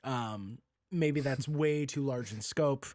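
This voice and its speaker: A man in a casual podcast chat as a guest, talking in an animated, self-mocking way with free swearing. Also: medium pitch, takes it slowly, no room sound, clean studio sound. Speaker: podcast guest, audio drama writer and producer.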